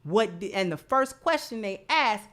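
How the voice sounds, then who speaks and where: An American woman delivers opinionated commentary at an unhurried, slow pace. She is emphatic, often restating a phrase to drive it home, in the manner of a host talking live to followers. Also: mid-range voice, lively intonation, fairly bright tone. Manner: emphatic, repeats phrases for stress.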